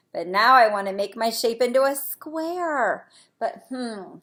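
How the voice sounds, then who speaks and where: A woman's voice: medium pitch, creaky, fairly bright tone. A woman preschool teacher leading young children through a simple lesson, explaining step by step and asking encouraging questions. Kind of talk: read-aloud and shape activity lesson for young children.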